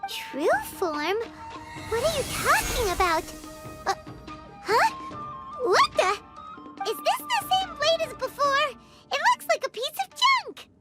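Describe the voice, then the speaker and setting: A woman voicing a tiny, excitable childlike fairy companion in a video game, squeaky, chatty and blunt. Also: takes it slowly, pauses often, exaggerated voice. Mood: baffled. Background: prominent game music.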